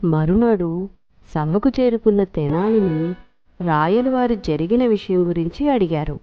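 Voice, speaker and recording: A woman's voice: lowish voice, lively intonation, unhurried. A woman narrating a scripted children's story as storyteller. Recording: muffled lo-fi, music underneath.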